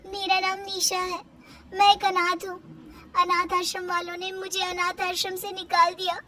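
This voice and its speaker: A woman's voice: very high-pitched, completely flat delivery, moderate pace, music in the background, pitched above the performer's own voice. A woman voicing a small, innocent orphan girl who speaks earnestly in a moral-story cartoon. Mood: pleading.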